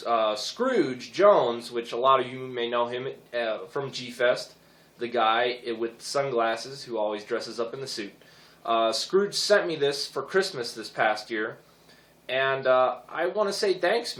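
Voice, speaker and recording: A hoarse young man rambling casually with many fillers as he reviews his toy figures. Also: mid-range voice, a bit bright, roomy sound.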